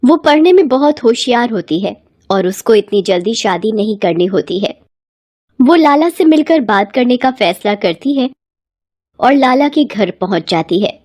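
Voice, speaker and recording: A woman's voice: fairly high pitch, animated, a little slow, a little mellow, dry recording, clean studio sound. A woman narrator reading a scripted moral bedtime story.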